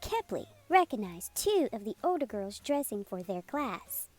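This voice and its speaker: A woman reading a children's picture book aloud as a storytime host, in an expressive, unhurried storytelling manner. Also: fairly high pitch. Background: music playing under the voice.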